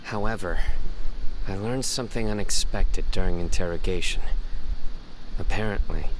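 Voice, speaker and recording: A man acting a stern, stoic young lawman in a video game, speaking in a grave, measured, restrained way. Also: deep voice, background noise, close to an everyday voice. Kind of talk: dramatic video game dialogue.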